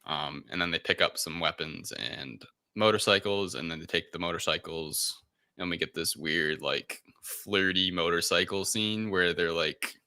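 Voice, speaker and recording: A man with a deep voice that has a sharp, very bright edge. He talks as a podcast host in a casual recap, improvising his retelling loosely and dropping in quoted asides. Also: normal speed, no room sound, clean studio sound. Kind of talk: casual film recap chat.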